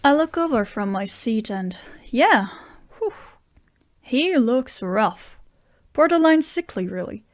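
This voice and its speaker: A woman's voice: a little high, animated, slow pace, no echo. Dry-recorded woman acting a wry barfly friend. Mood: wry, concerned. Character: wry, down-on-their-luck friend Bill, narrating at a bar. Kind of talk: scripted first-person audio drama narration.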